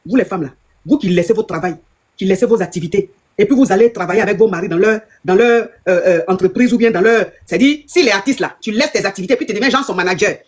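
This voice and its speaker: A woman's voice: medium pitch, quick pace. A woman relationship coach, giving emphatic advice that repeats phrases and scolds her listeners. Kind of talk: advice call-in talk.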